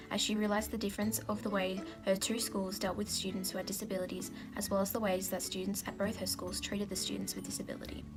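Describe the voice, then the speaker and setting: A young woman, a university student, reading a scripted analysis in a brisk, matter-of-fact way. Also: medium pitch. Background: background music.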